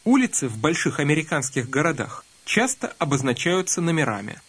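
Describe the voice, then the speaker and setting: Husky male language-course instructor. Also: fairly high pitch, fairly quick. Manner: calm instructive prompting. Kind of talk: scripted lesson narration.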